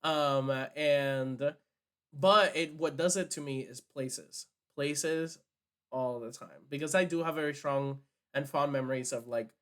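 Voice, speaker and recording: A man's voice: on the high side, unhurried, fairly bright tone. A man from Puerto Rico co-hosting a podcast in casual, slangy chat, swearing freely and acting out dialogue. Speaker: podcast co-host.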